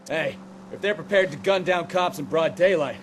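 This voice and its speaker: A man's voice: a little high, moderate pace, lightly acted. An American man playing a jaded, grumbling 1940s police officer in a video game, blunt and hot-headed. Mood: exasperated. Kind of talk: dramatic video game cutscene acting. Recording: street and vehicle noise behind dialogue.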